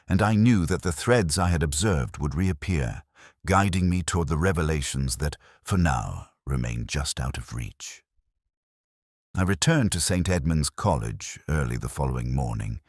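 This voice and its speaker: Very deep-voiced man as a brooding detective narrator. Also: dry recording, studio quality, full character voice. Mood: brooding, reflective. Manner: measured, literary, solemn. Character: reflective, observant male detective inspector narrating his case. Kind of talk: scripted first-person mystery narration.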